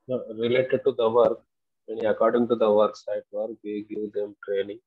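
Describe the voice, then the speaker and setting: Low, flat, husky male student. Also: moderate pace, no room sound. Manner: hesitant, polite, uses "sir". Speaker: student in the class. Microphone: computer mic on a video call. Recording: dull lo-fi call audio.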